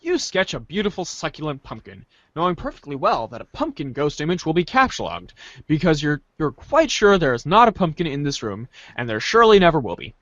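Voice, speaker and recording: Male narrator, high-pitched and wildly animated, playful dramatic reading, dry muffled recording. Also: brisk, dry recording. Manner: theatrical, playful. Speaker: podcast narrator reading a webcomic aloud.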